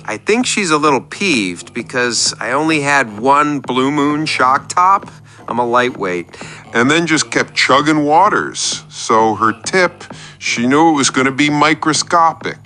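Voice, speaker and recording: A man's voice: medium pitch, animated, measured pace, sharp tone, faint music behind. A man playing an earnest, lonely, oversharing oddball client in a radio comedy, rambling in odd detail. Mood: earnest, dreamy. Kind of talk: scripted radio comedy monologue.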